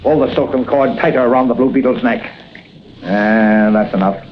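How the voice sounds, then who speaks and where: A man's voice: medium pitch, normal speed, natural voice. A man playing a cocky old-time gangster. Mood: menacing. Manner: tough, cocky street slang. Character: sneering adult male gangster extortionist. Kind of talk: 1940s radio acting. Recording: muffled old radio recording with hiss.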